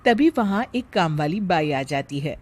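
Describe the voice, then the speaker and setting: A woman's voice: on the low side, animated, measured pace, a little mellow. A woman storyteller reading a scripted family tale as narrator.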